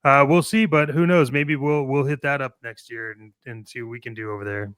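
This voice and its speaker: An American man with a smooth, clear voice chats casually as a show co-host. He offers opinions in a measured, somewhat hesitant way, recorded dry with little room sound. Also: mid-range voice, fairly even intonation, fairly bright tone, dry recording. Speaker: co-host of a toy collecting show. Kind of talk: casual radio-show chat.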